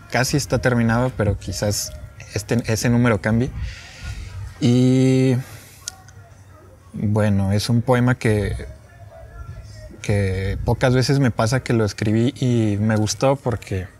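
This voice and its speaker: A man's voice: medium pitch, fairly even intonation, slow, long pauses, darkish tone, soft background music. A young man with a northern Mexican accent, a poet introducing and reciting his own work on an open patio. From Chihuahua, Mexico. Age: young adult. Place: open patio with wooden furniture.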